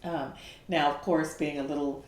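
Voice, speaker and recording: A woman with a faint Bahamian lilt tells her life story in a relaxed interview, pausing on ums and often laughing. Her voice sits low, and the room around her is echoey. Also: a little hiss or hum. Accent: faint Bahamian lilt in speech pattern. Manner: laughing storyteller with many fillers. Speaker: guest. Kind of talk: casual interview chat.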